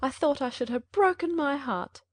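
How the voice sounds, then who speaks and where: A woman's voice: high voice, animated, normal speed, dry recording, pitched above the performer's own voice. A woman voicing a fussy, self-pitying middle-aged mother in a gushing, fretful manner for an audiobook.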